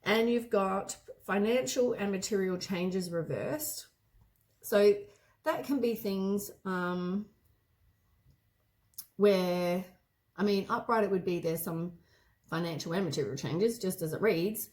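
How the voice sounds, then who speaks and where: A hoarse woman with a head cold gives a casual tarot reading, meandering and often correcting herself mid-sentence. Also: medium pitch, slow pace, long pauses, darkish tone. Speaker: tarot card reader.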